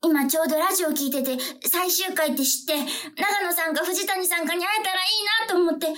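A woman playing an excitable female taxi driver and devoted radio fan in a comic radio drama, with a Kansai accent and exclamatory, melodramatic delivery. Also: very high-pitched, a little fast. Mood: starstruck.

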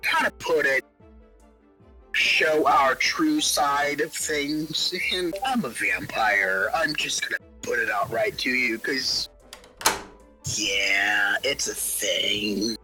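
A performer playing a casual, unsettling vampire bishop in an improvised audio drama, halting and offhand, with breathy breaks into whispers. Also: mid-range voice, sharp tone, faint music behind, full character voice, low-quality recording. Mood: calm, matter-of-fact. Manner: halting, offhand, drawn-out hesitant delivery. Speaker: interviewee in roleplay. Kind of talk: unscripted in-character audio drama.

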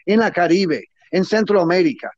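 High-pitched guy, not a native speaker, choppy spanish, dry muffled sound. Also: expressive, a little mellow, dry recording. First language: not Spanish; Spanish is his second or third language. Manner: broken, halting Spanish with grammar slips, enthusiastic and salesy. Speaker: guest, cigar businessman. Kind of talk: closing remarks in a casual interview.

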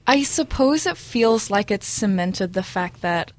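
A woman's voice: medium pitch, lively intonation, measured pace. A woman in her twenties from Brunei, a junior doctor, chatting casually as a guest with many ums and frequent laughs. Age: 20s. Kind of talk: casual interview chat.

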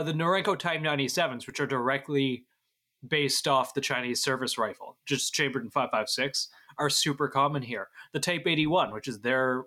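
A man's voice: medium pitch, sharp tone. A man from Canada talking as a podcast guest in casual, eager and jokey chat.

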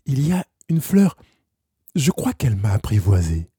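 A man's voice: mid-range voice, big pitch swings, normal speed, dark tone, husky, dry recording, clean studio sound. A man voicing a polite, innocent little boy prince in a storybook, asking simple questions. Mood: thoughtful.

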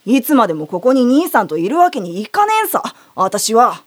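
Brief: blunt, slangy little spirit child, androgynous, high-pitched and swooping, audio drama. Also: female voice, sing-song, brisk. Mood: exasperated. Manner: rough, slangy, blunt speech. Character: brash, lively androgynous young youkai child on the run.

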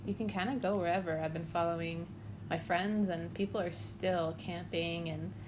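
A woman's voice: lowish voice, fairly even intonation, moderate pace. A woman in her twenties chatting casually and upbeat, laughing often. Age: 20s. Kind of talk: casual chat. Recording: noisy background hiss. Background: dog chewing a bone.

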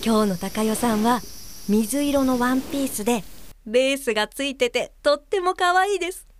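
Actress playing a perky, high-voiced woman guide helper. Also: normal speed, a little hiss or hum, lightly acted. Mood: perky, teasing. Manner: teasing, exclamatory, slangy. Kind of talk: audio drama acting with narration.

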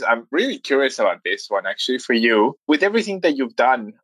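A man's voice: medium pitch, a bit bright, no room sound, low-quality recording. A man hosting an interview, asking questions and responding with upbeat enthusiasm. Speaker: interview host.

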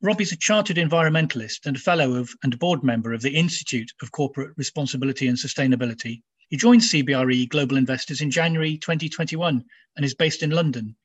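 A man from Nigeria hosting a podcast interview, measured and trailing into low asides. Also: husky, highs cut off. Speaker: podcast host.

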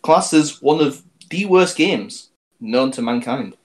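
A man co-hosting a casual podcast chat, talking haltingly and often restarting his sentences. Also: mid-range voice, animated. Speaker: podcast co-host.

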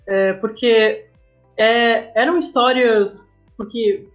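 A woman's voice: a little high, steady tone, slow, dull audio, soft background music. A woman with a Brazilian accent speaking casually as a guest in a podcast interview, often checking for agreement with tag questions. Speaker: guest, comic artist and game writer.

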